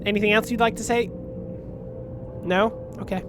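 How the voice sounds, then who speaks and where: A man's voice: high voice, fairly even intonation, normal speed. Sniffly man rambling over game music. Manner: joking, rambling, talks to the game's characters. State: sniffly, runny nose. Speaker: let's play host. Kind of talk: rambling gameplay commentary. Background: game music and spaceship sounds.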